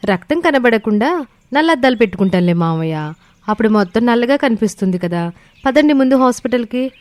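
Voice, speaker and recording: A woman's voice: fairly high pitch, lively intonation, a little fast, a little mellow, no echo, natural voice. Woman playing shrewd young village wife. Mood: sly politeness. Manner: firm, slyly sweet. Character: shrewd, sarcastic young village daughter-in-law, outwardly polite.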